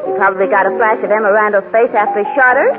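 Fast, brisk actress as radio newspaper woman. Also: mid-range voice, steady tone, close to an everyday voice. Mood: impatient, teasing. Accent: American. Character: brisk, practical young female newspaper reporter, teasing. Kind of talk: 1940s radio crime drama acting. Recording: narrow-band old radio recording with music.

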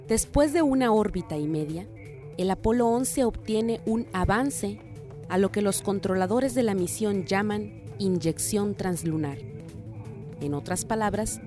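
A woman narrates a documentary from a script in a soft-edged, mellow tone at a medium pitch. Faint music plays behind her voice.

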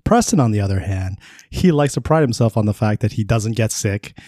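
A man in his thirties talks easily on a podcast, chatty and reassuring, often breaking into a laugh. His voice sits low. The sound is dry and close. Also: animated, a little fast, warm tone, no room sound. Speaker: podcast co-host. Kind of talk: casual chat.

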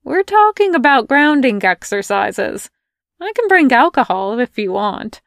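A woman voicing a brash, teasing young woman in an audio fanfic reading, in a loud, high-pitched manner. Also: very animated, unhurried, gravelly, dry recording, clean studio sound, heavily acted. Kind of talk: podfic character voice.

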